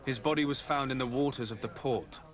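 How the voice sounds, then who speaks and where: Casting: male actor as quietly commanding game nobleman, medium pitch, formal, measured, courteous authority. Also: lightly acted. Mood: grave. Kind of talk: video game cutscene acting.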